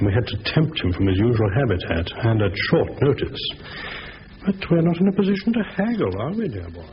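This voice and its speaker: An actor in a 1970s radio drama playing a fastidious gentleman crook with a florid, condescending manner. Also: mid-range voice, big pitch swings, close to an everyday voice. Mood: arch. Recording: muffled old radio broadcast with hiss.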